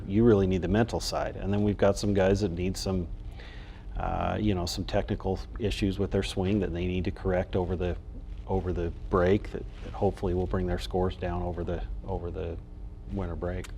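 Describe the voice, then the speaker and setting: A man's voice: sits low, steady tone, brisk, vocal fry, dark tone. A man speaking as a coach in a casual sports interview. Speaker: golf coach, interview guest. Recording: faint background noise.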